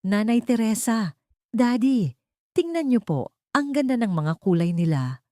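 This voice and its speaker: A woman voicing a sweet, excited little girl in a storybook drama. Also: fairly high pitch, unhurried, dry recording, studio quality, pitched above the performer's own voice. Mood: excited delight.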